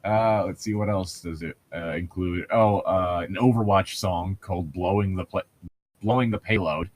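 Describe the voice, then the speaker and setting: A man's voice: sits low, moderate pace. A man co-hosting a comedic read-along podcast, chatting casually with crude, profane humor. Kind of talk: casual banter between book readings.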